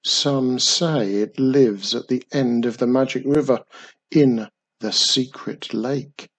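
A reader doing a wary little old man. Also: mid-range voice. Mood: dramatic. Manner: slow, emphatic, dramatic. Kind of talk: storybook reading.

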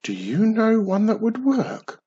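A storyteller voicing Wizardy Witch, a cheerful young witch who is proud of how fast she is, in a high, quick, eager voice. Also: huge pitch swings, dark tone, slightly rough, muffled recording, exaggerated voice. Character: cheerful young witch, boastful about her speed. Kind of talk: storybook reading.

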